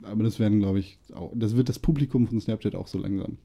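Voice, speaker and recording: A young man hosts a podcast in a loose, easygoing chat. His voice is low and very dark, almost muffled in its mellowness, and he agrees along with plenty of little fillers. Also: quick pace, creaky. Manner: relaxed, agreeable, lots of filler. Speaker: podcast host. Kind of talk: casual chat.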